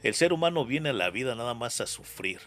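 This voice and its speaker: A man's voice: medium pitch, lively intonation, a little fast, a bit bright, soft background music. A Mexican man hosting a casual interview, talking in a slangy, rambling way and often repeating his phrases. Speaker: interview show host.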